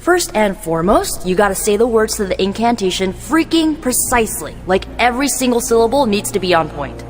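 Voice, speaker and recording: An actress playing a flippant, bossy young sorceress in a video game cutscene, talking in a slangy, animated way. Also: female voice, medium pitch, brisk, lightly acted. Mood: brisk, sarcastic. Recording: music behind voice.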